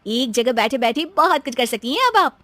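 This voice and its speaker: A woman acts out a young daughter-in-law from a family story. She is exasperated and dramatic, very high in pitch and swooping as she grumbles. The sound is dry. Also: big pitch swings, normal speed, bright, forceful tone, no echo, exaggerated voice. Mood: sweet. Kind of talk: animated story dialogue.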